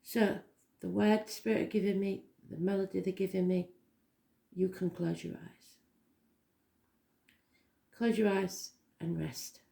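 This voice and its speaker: A woman with a slightly croaky voice giving a daily tarot reading, talking softly and slowly with many pauses. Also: mid-range voice, little pitch movement, roomy sound. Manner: slow, soft and unhurried, with pauses and fillers. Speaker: tarot reader.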